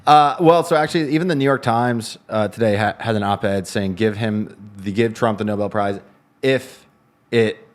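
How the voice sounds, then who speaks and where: A man co-hosting a casual news discussion show, talking in quick rambling bursts with frequent restarts. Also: fairly low pitch. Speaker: co-host.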